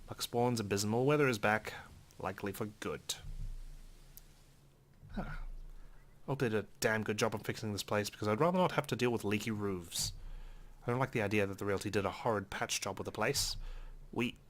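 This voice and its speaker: A man's voice: on the low side, fairly bright tone. A man reading visual novel text aloud as a let's player, with occasional brief commentary.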